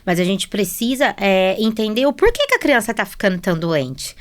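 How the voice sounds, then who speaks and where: A woman's voice: mid-range voice, big pitch swings, brisk. A woman with a Brazilian accent speaking as a guest nutritionist, explaining conversationally with frequent tag questions. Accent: Brazilian Portuguese.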